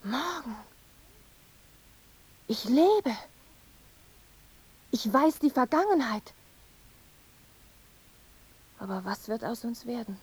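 A woman's voice: on the high side, very animated, slow pace, long pauses, a little mellow, slightly rough, no echo, full character voice. A woman playing a mournful, visionary young wife in a poetic radio play, with solemn, incantatory delivery. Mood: anguished.

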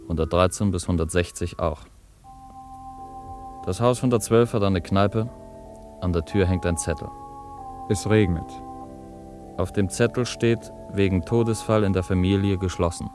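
Deep, sombre radio play narrator. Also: male voice. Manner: measured, terse. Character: sombre, terse storyteller of a Berlin drama. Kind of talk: scripted literary radio narration. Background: resonant music.

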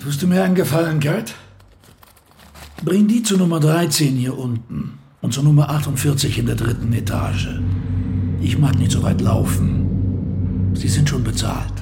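Convict cellmate, radio drama, somewhat high voice, mocking, crude. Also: male voice, normal speed, vocal fry, pauses often, noisy background. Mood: taunting. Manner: taunting, crude. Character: coarse, mocking cellmate, stocky older convict.

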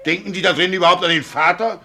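A man's voice: sits high, steady tone, fast talker, vocal fry, bright, forceful tone, faint music behind, heavily acted. A man playing an anxious, grumbling poor farmhand and new father in a vintage German radio play. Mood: impatient. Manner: plaintive, lamenting, exclamatory. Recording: noisy vintage 1950 radio recording.

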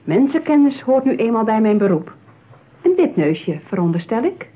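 An actress playing a smooth, patronising saleswoman in a radio play, with polished, persuasive patter. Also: on the high side, sing-song, normal speed. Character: smooth, patronising, eager saleswoman at a matchmaking agency. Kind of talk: radio drama acting. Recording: tinny, narrow-band old radio play.

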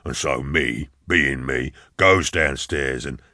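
A man voicing a fat, gruff man in his sixties, an old soldier and building caretaker in an audiobook. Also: a bass voice, slow pace, pushed and bright, dry recording, clean studio sound, pitched below the performer's own voice, exaggerated voice. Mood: chatty, respectful. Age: 60s. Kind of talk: audiobook character dialogue.

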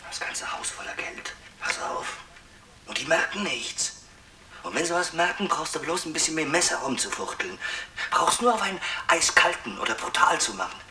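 An actress playing a hard, bossy young burglar in a radio play, pushy and coarse in manner. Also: female voice, low-pitched, very animated, moderate pace, heavily acted. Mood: goading. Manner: pushy, coarse, hushed then snapping. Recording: voice far from the microphone.

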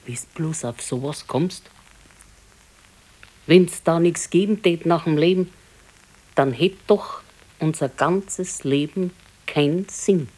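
A woman playing an aging, resigned, gently chiding wife in a radio play, with a southern German, Bavarian accent and a matter-of-fact manner. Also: low voice, takes it slowly, long pauses, poor audio. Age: older. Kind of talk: naturalistic radio play acting.

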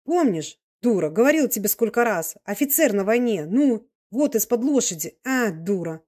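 Woman as a loud, tipsy old peasant veteran. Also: fairly high pitch, sing-song, normal speed, dry recording, clean studio sound, voice pushed up. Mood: excited, insistent. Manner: exclamatory, rambling folk speech. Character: loud, tipsy, big old male peasant, devoted ex-soldier.

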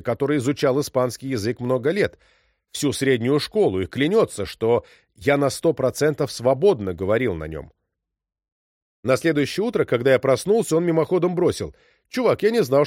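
A man reading out a series of short first-person stories as scripted narration, in a calm, even storytelling manner. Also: mid-range voice, brisk, dry recording, studio quality.

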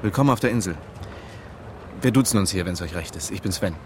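A man's voice: deep voice, expressive, fast, darkish tone, slight background noise. An actor playing a reserved diving instructor of around forty, narrating his story soberly in a radio drama. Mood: welcoming.

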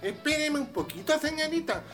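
A woman voices a humble, deferential estate worker in a radio play. The voice is fairly high and swings widely in pitch, over music. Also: animated, normal speed, fairly bright tone. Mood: polite, deferential. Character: humble, deferential farm hand at the estate gate. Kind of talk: radio drama acting.

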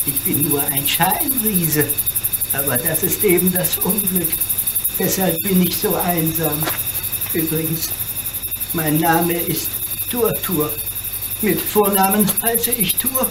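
An actor playing a lonely, courteous old make-believe giant in a German radio play, plaintive and deliberate. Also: mid-range voice, noisy background, lightly acted. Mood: grateful, melancholy.

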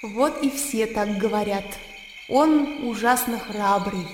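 An actress playing a lively, naive young Russian gentlewoman in a radio drama, talking in an animated, girlish way. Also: mid-range voice, takes it slowly, natural voice. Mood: proud, lively. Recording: echoey hall-like reverb, clear background noise.

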